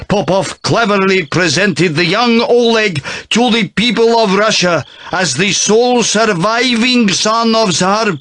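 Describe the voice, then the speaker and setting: An actor playing a solemn priest in a video game cutscene, telling his story in a grave, measured manner. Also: sits high, fairly bright tone, heavily acted. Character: solemn priest revealing a secret royal heritage. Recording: music behind the voice. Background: music.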